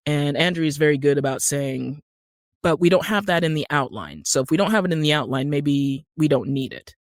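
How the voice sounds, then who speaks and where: A woman with an American accent, talking as an interview guest in a conversational, animated storytelling way that acts out bits of dialogue. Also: low voice, brisk, no echo, studio quality. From Utah, United States. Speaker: guest, actor and screenwriter.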